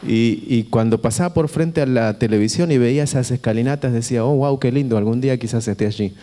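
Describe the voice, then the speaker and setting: A man from Buenos Aires with an Argentine accent, preaching informally to a congregation in a conversational, halting way, repeating phrases and checking in with his listeners. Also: medium pitch, dark tone. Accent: Argentine Spanish. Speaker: visiting preacher. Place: church auditorium. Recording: reverberant hall with long echo.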